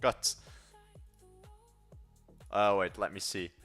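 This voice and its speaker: Bright-voiced rambling young man. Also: fairly low pitch, steady tone, drawn-out words, faint music behind. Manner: rambling, trails off mid-thought. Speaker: livestreaming coder. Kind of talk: casual live-stream chat.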